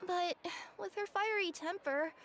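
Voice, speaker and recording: A woman's voice: extremely high pitch, a little slow, a little hiss or hum. A woman voicing a young, earnest and cheerful cat yokai courier with a girlish voice in a video game. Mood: worried. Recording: faint game music behind.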